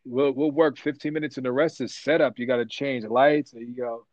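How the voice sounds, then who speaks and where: A man being interviewed about his work as a voice actor, telling stories in a rambling, animated way with lots of restarts. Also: mid-range voice, normal speed, dry recording, dull audio. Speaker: voice actor guest. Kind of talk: casual interview chat.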